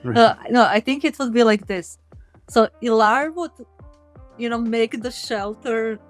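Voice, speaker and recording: A woman's voice: on the high side, measured pace, soft background music. A woman talking informally and self-deprecatingly about her work as an indie game developer in an interview. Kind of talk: casual interview chat.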